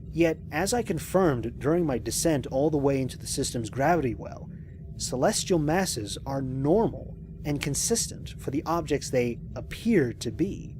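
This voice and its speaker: A man playing a lone spaceship commander and scientist in an audio drama, recording mission logs in a measured, reflective, precise way. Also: mid-range voice, close to an everyday voice. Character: lone male spaceship commander, thoughtful scientist, quietly amazed.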